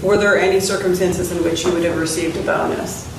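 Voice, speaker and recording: Woman, flat intonation, formal lawyer questioning, very echoey, noisy distant recording. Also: lowish voice, fairly quick, creaky.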